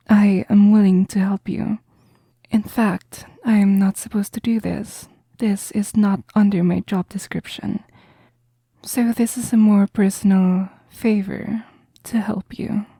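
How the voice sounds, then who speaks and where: A woman performs a kind, understanding female professor in an intimate roleplay audio. Her voice is soft and very breathy, with a slow, gentle, reassuring delivery. The sound is dry and close. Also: medium pitch, no echo. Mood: soft reassurance. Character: caring, understanding female math professor counselling a student.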